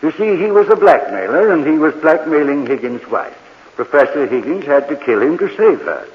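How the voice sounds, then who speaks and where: An eccentric old morgue keeper in a 1940s radio mystery. His highish voice rises and falls freely, soothing and earnest as he rambles, ringing in a long reverb on old radio. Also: very animated, normal speed. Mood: earnest, insistent. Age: older. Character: gentle, eccentric old morgue keeper who talks with the dead. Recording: dull vintage radio recording, long reverb.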